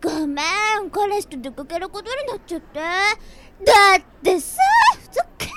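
A woman's voice: extremely high pitch, lively intonation, normal speed, very noisy. A woman playing a clingy, drunken young single woman who nags and complains, in an audio drama. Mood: whining, sulky. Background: music.

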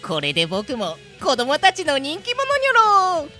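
Cutesy, goofy soft-hearted monster voiced by a woman. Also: sits high, huge pitch swings, a little slow, soft background music, exaggerated voice. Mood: eager. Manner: cutesy, whiny, with a quirky verbal tic ending sentences. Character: goofy soft-hearted monster who longs to be liked by children. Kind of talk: amateur audio drama acting.

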